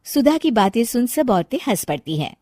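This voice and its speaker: A woman's voice: a little high, big pitch swings, moderate pace, a little mellow, no echo, studio quality. A woman narrating a scripted story as its storyteller.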